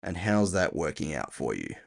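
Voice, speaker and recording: A man playing a gruff, impatient cyberpunk bounty hunter, terse and sardonic, in an audio drama. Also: a bass voice, huge pitch swings, moderate pace, pitched below the performer's own voice, heavily acted.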